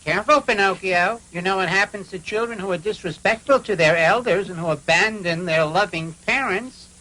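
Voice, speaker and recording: An actor voicing an ancient talking cricket in a cartoon dub, moralizing and admonishing. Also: male voice, high-pitched, moderate pace, sharp tone, poor audio. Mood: scolding. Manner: preachy, admonishing. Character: hundred-year-old moralizing, scolding talking cricket. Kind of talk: animated cartoon dub acting.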